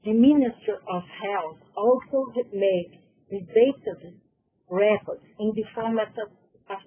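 A woman's voice: mid-range voice, slow pace, a little hiss or hum. A woman from Brazil with a Portuguese accent, carefully reading a prepared conference presentation in English with occasional self-corrections. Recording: tinny phone-like conference audio.

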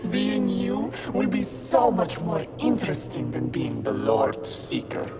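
An actor playing a menacing, smug envy demon in a video game, taunting theatrically. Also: female voice, rumbling low, big pitch swings, slow, vocal fry, heavily acted. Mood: gloating menace. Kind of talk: video game cutscene acting. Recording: loud music behind.

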